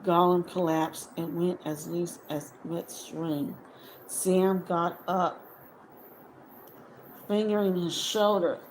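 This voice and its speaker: A woman narrates a story in a slightly low voice, very slowly and deliberately. She breaks sentences into short dramatic phrases, with noticeable noise in the background. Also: steady tone, poor audio. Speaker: audiobook reader. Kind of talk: dramatic scripted fiction narration.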